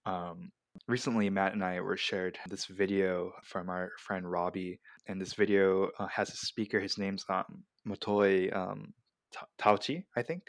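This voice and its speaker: A man from the United States talking casually as a podcast host, explaining things in a halting, filler-heavy way. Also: low-pitched, level tone, no echo. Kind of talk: casual discussion.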